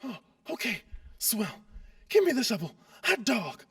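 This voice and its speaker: A woman's voice: fairly high pitch, big pitch swings, unhurried, a bit bright, a little hiss or hum, exaggerated voice. A woman playing a skeptical, easygoing ten-year-old kid in an old-time radio drama, talking in folksy slang. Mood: excited. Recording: long hall-like reverb.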